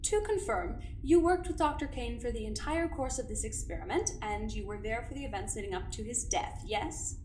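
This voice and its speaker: A woman's voice: a little high, expressive, moderate pace, few pauses, a little mellow, roomy sound, slight background noise, close to an everyday voice. A woman playing a coolly polite, imperious corporate doctor in an audio drama, speaking in formal, precise, condescending sentences. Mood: politely probing. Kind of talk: audio drama acting, dictated message and interrogation.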